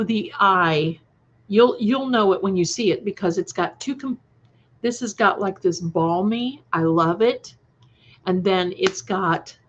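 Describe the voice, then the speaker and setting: A slightly muffled, lo-fi livestream recording of a woman in her sixties. She chats at a slow, unhurried pace, casual and affectionate, talking straight to her viewers as the host. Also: mid-range voice, a bit bright. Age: 60s. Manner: chatty, affectionate, addresses listeners with endearments. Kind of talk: casual live chat with viewers.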